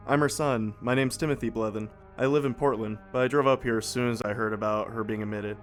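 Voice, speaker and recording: A man's voice: mid-range voice, steady tone, fast talker, soft background music, lightly acted. A man playing a talkative, eager son in an audio drama, politely persistent with his questions.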